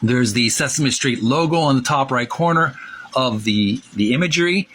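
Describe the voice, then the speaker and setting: A man hosting informal video commentary, halting as he goes and drawing out key words for emphasis. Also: mid-range voice, fairly bright tone, a little hiss or hum. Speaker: host of a retro gaming channel. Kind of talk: informal video commentary with read-aloud passages.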